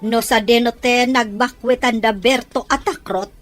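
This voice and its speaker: Suspicious Filipino woman, high voice, Ilocano drama actress. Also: fairly even intonation, measured pace, music in the background, close to an everyday voice. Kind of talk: Ilocano radio-style drama acting.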